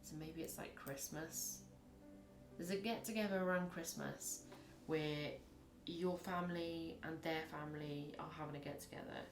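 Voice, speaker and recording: A woman reads tarot in a rambling, chatty way with a husky, low voice. She acts out little scenes as she goes. The room around her is echoey. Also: fairly even intonation, normal speed, over music. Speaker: tarot reader. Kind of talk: casual tarot reading to camera.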